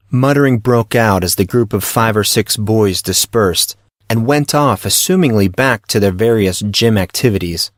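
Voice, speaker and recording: A man's voice: lowish voice, no room sound, studio quality. A man reading scripted fiction as an audiobook narrator.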